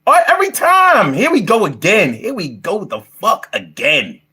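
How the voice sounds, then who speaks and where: Male streamer, fifties, brash and slangy, forceful bright voice with big pitch swings. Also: moderate pace, slightly rough. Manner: brash, heavily profane, slangy street talk; animated, repeats phrases for emphasis. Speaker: livestream host. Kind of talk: casual livestream chat.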